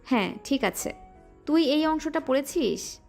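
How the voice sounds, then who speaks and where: Woman as stern senior girl student, curt and scolding, high pitch, music bed. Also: animated, unhurried, creaky, darkish tone, exaggerated voice. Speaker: voice actor. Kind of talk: audio drama acting.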